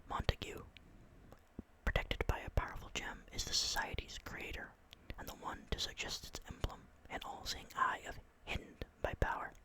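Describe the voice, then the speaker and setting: A tired young man doing whispered ASMR, reading game lore close to the mic with rambling, excitable, apologetic asides. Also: normal speed, poor audio. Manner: soft unvoiced whisper throughout. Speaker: ASMR creator. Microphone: close microphone.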